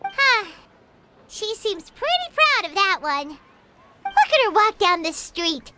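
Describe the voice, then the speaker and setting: A woman voicing a tiny, cheeky fairy girl companion in a video game, with a squeaky, childlike, chirpy and chatty delivery. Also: very animated, slow pace, very noisy, exaggerated voice. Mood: gossipy, sheepish. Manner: chirpy, refers to herself by name in third person. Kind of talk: scripted game character dialogue.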